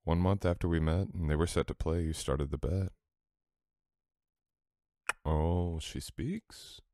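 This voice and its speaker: Casting: male actor as teasing, affectionate boyfriend for ASMR roleplay; extremely low voice, close dry sound, soft unhurried murmur. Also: no echo. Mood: playful.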